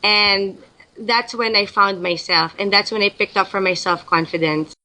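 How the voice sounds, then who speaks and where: A woman's voice: medium pitch, fairly even intonation, moderate pace, sharp tone, poor audio. A young woman from the Philippines, a guest in an interview, talking casually and thinking aloud with frequent restarts.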